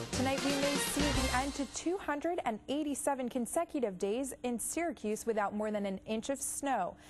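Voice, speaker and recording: A young adult woman reporter and anchor reading scripted news in a crisp, measured way. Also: fairly high pitch. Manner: crisp, measured newsreader delivery.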